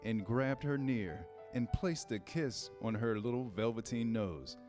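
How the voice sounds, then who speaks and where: A man's voice: medium pitch, a little slow, music in the background. A man reading scripted storybook narration in a staged reader's theater style.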